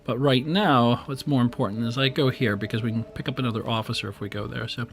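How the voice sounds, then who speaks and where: Male streamer with a weak, strained voice, mid-range pitch, rambling live commentary at a desk boom mic, with faint music behind. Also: steady tone, quick pace. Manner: chatty and rambling, with many fillers and restarts. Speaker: streamer and game host. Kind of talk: casual live-stream commentary while playing. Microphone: desk microphone on a boom arm.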